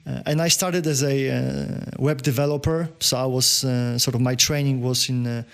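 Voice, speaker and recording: A man from Poland, answering interview questions as a podcast guest in a halting way, full of fillers and restarts. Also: medium pitch, steady tone, gravelly, a bit bright. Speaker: podcast guest, business owner.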